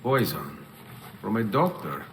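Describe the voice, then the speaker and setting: An actor playing a confident, courteous young Renaissance assassin with an Italian accent and a measured, wry delivery. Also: male voice, lowish voice, sing-song, a bit bright, long reverb tail, a little hiss or hum, exaggerated voice. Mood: friendly. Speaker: video game protagonist. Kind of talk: dramatic video game acting.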